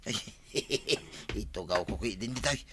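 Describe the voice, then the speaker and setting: A man playing a talkative Ilocano neighbour in a comedy drama. His bright, forward voice is casual and exclamatory, over faint background noise. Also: on the low side, expressive, unhurried.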